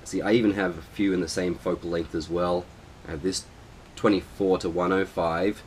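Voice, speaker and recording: A man with a cold explaining camera lenses in a casual, relaxed tutorial, with plenty of ums and uhs. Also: low-pitched, moderate pace, a little hiss or hum. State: nasal from a cold. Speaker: photography vlogger.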